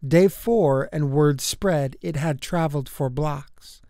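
A woman's voice: sits low, slow pace, mellow, no room sound, studio quality. A woman narrating a rhyming children's storybook expressively, in a way that is easy to follow. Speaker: children's book reader.

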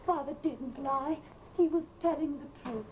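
An actress playing a fearful, tormented young woman in an old television melodrama, speaking in an earnest, plaintive, halting way. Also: high-pitched, natural voice. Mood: haunted, grave. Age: young adult. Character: tormented, fearful young woman who believes she is possessed. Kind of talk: 1950s live television melodrama acting. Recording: muffled, noisy old TV soundtrack.

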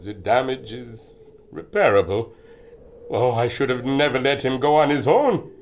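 An actor playing an excitable, protective inventor in an audio drama. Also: male voice, medium pitch, a little slow, a little hiss or hum, close to an everyday voice. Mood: regretful, gruff. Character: excitable German inventor, protective of his robot. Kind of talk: radio adventure drama acting.

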